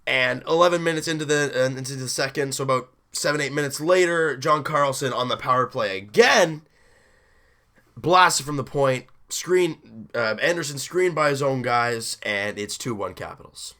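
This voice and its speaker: Hoarse young male fan host, punchy bright delivery, excitable recap. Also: medium pitch, normal speed. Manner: excited, rambling, self-interrupting. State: hoarse, deep rough voice after a late night. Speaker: hockey fan video host. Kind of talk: casual game recap.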